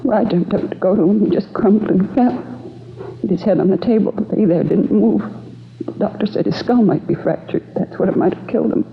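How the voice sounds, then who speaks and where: An American actress playing a shaken, polite, newly widowed housewife in a 1950s radio drama, speaking in a halting, subdued way. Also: female voice, mid-range voice, gravelly, natural voice. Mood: shaken, grieving. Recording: narrow, tinny old radio recording, faint noise.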